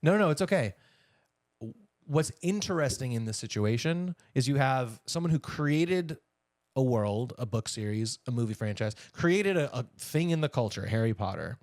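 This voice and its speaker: A man's voice: mid-range voice, expressive, normal speed, a little mellow, no echo, clean studio sound. An American man co-hosting a podcast, chatting casually and giving wry, opinionated asides on entertainment news. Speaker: podcast co-host.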